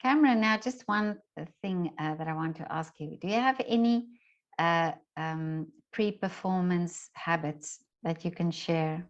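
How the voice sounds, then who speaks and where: A middle-aged woman with a fairly low voice chats as an interview host. She is effusive and conversational. The recording is slightly dull and muffled. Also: measured pace. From lives in Vienna, Austria. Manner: chatty, effusive, frequent agreeing interjections.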